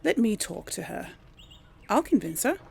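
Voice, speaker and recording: A woman role-playing a young, fierce, headstrong noble daughter in a fantasy game, with a creaky voice. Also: medium pitch, very animated, moderate pace, a little mellow. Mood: indignant, insistent. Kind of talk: role-played game dialogue.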